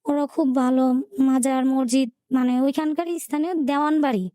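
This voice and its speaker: A woman with a Bangladeshi Bengali accent telling her life story in an interview, in a colloquial, halting way with repeated filler words. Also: sits high, steady tone, dark tone, no room sound, clean studio sound. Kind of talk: personal storytelling in a radio interview.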